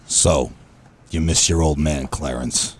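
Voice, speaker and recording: Very deep, husky old dad. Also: male voice, steady tone, slow pace, heavily acted. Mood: familiar. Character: long-absent old father, big, bearded, folksy. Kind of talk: comedic noir radio play acting.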